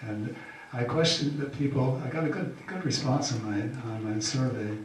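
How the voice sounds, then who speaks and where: An older man, an incumbent county official, giving a halting, folksy campaign speech full of fillers. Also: medium pitch. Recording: echoey hall from far off, faint hiss.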